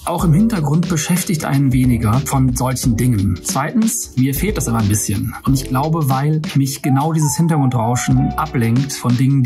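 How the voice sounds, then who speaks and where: A man's voice: a little high, gravelly, a little mellow. A young German man vlogging a week offline, narrating candidly and reflectively in voice-over and to camera. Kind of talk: self-experiment vlog with voice-over narration.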